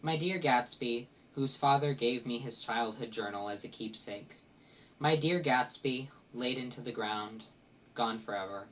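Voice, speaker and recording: A man acts out a lovelorn gentleman storyteller, confiding and sentimental. The voice is mid-pitched and dramatic, heard a little way from the microphone. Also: far from the mic, a little hiss or hum, close to an everyday voice, low-quality recording. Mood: mournful. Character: lovelorn, wistful male narrator confessing a secret romance. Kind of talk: dramatic monologue from a literary parody.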